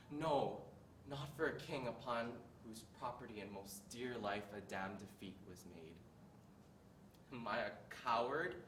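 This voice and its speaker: A man's voice: mid-range voice, natural voice. A teenage boy playing a tormented young prince, reciting a stage monologue that swings from brooding to raging, recorded from a distance in an echoey hall. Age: teens. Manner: builds from brooding quiet to raging shouts. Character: tormented young prince, bitter and self-reproaching. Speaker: student in a monologue contest. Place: school hall. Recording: echoey room, distant mic, faint hiss.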